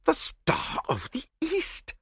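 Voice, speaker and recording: A man's voice: mid-range voice, huge pitch swings, measured pace, a bit bright, slightly rough, no room sound, studio quality, pitched above the performer's own voice, exaggerated voice. A man voicing an agitated, haggard nobleman who splutters and exclaims in bewilderment, in an audiobook story. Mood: astonished.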